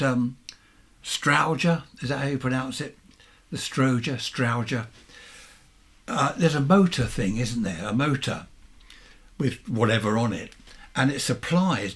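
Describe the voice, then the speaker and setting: Husky older southern English man, chatting casually. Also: mid-range voice. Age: 70s. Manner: rambling, chatty, self-questioning with tag questions. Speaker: amateur radio hobbyist presenting vintage equipment. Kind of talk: casual, unscripted show-and-tell.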